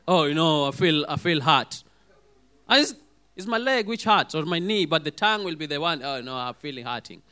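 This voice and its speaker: Hoarse, lively man preaching a sermon. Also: on the high side, moderate pace. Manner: lively, calls for amens, acts out quoted voices.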